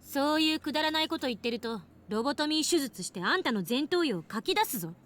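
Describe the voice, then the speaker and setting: A woman voicing a sharp-tongued, clever young female scientist in an anime visual novel, brisk and snappy, over slightly noisy game audio. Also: sits high, expressive. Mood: irritated.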